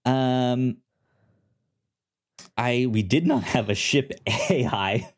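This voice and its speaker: A man talks as a podcast co-host in a relaxed, unhurried chat. His pitch moves around a great deal, and his phrasing is halting, often restarting mid-thought. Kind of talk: casual movie review chat.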